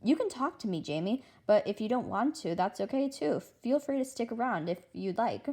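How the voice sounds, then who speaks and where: A woman's voice: a little high, expressive, moderate pace, mellow, voice pushed up. A woman voicing a kind, sympathetic female teacher in a read-aloud children's story, with a soothing, dramatic manner. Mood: reassuring.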